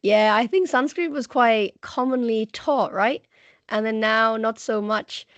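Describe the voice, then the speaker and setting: A woman teaching the Punjabi language who talks in a chatty, enthusiastic way, with a croaky voice today, speaking on a video call. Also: fairly high pitch, measured pace, dry recording. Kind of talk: casual presentation on a video call. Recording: dull, lo-fi video call audio.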